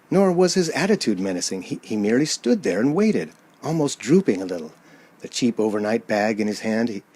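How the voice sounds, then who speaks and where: A man's voice: medium pitch, a little mellow, husky. An actor playing an uneasy man driving alone, narrating a radio play in a hesitant, dramatic way. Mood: haunted, brooding.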